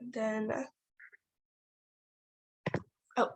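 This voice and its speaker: Young woman, high voice, chatty, many fillers, video call. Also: level tone, slow. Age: young adult. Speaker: youth working group chair. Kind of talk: casual online meeting talk.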